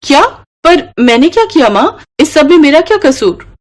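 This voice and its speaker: A woman's voice: high-pitched, sing-song, moderate pace, pitched above the performer's own voice. A woman voicing an aggrieved grown daughter in a family storybook tale, plaintive and emotional. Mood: shocked, hurt. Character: aggrieved adult daughter returned to her mother's home.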